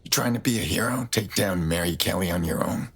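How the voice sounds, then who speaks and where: Very deep gravelly brooding vigilante. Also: male voice, a little fast, a bit bright, slight background noise. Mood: concerned, probing. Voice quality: low gravelly rasp. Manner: terse, brooding delivery. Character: grim middle-aged male vigilante hacker, quiet and stoic.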